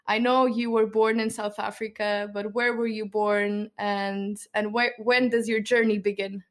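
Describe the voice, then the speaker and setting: A woman hosting an interview, earnest and soft-spoken, with hesitant ums. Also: medium pitch, flat delivery, a little slow. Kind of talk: interview host.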